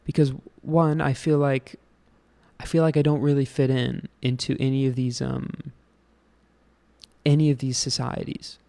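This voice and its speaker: A man's voice: medium pitch, flat delivery, measured pace, creaky, warm tone. A young American man hosting his own show, rambling casually with lots of fillers, restarts and swearing. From United States. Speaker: show host. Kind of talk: casual solo rant.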